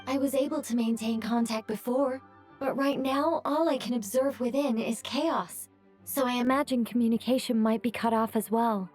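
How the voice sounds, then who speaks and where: A woman voicing a cautious, wry female angel in a video game, speaking in a measured, matter-of-fact way. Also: on the high side, steady tone, darkish tone, soft background music, natural voice. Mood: cautioning. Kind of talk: animated game dialogue.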